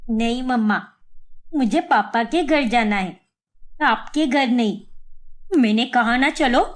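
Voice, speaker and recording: A bright, higher voice for a short-tempered young mother in a cartoon family story, as a woman puts her on. She snaps back and defends herself. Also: takes it slowly. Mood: defiant. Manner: sharp, defensive.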